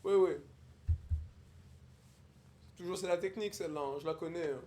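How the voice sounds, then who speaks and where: A man's voice: sits high, normal speed, mellow, a little hiss or hum, close to an everyday voice. A young man livestreaming, putting on a haughty, snobbish young posh man who mocks strangers in affected formal speech. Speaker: livestreamer on a video chat app. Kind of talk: improvised character roleplay with strangers.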